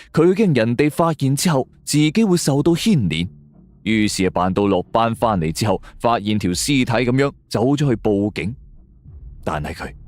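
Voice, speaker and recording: A man with a low voice narrates a suspense audio story in Hong Kong Cantonese. His delivery is theatrical and very animated, rising and dropping widely to build suspense. Faint music plays behind him. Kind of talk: dramatic suspense storytelling, scripted first-person narration. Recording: music bed under the voice. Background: background music.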